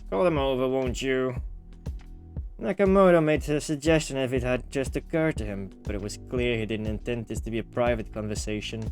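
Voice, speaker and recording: A man's voice: medium pitch, brisk, hardly any pauses, a little mellow. Man reading a game story aloud over music. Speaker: gamer reading a visual novel aloud. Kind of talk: dramatic read-aloud with casual asides.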